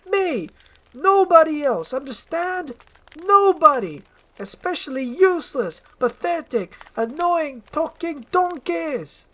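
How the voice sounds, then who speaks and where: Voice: high-pitched, sing-song, slow pace, pushed and bright, pitched above the performer's own voice, heavily acted. A performer voicing a grumpy, irritable male ogre who talks bluntly and scornfully. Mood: angry.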